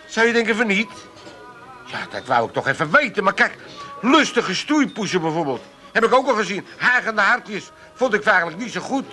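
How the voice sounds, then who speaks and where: A man's voice: high voice, very animated, moderate pace, pushed and bright, soft background music, full character voice. An actor playing a grumbling, naive older Amsterdam father in a comic radio play, stammering and blunt. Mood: sheepish, defensive.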